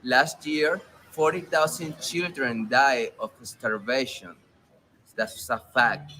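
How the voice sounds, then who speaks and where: Venezuelan-accented man over clear background noise. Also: mid-range voice, takes it slowly, bright, forceful tone, low-quality recording. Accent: Venezuelan Spanish. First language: Spanish. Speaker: interview guest. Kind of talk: casual interview, explaining.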